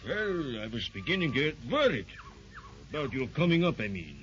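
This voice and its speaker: German-accented brute, 1930s radio serial, bright and jumpy, blustering and cowardly, lo-fi. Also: male voice, a little high, sing-song, moderate pace. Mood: sly. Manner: blustering, whining when caught out. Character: brutal German safari man, blustering and cowardly. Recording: dull old radio recording with faint hiss.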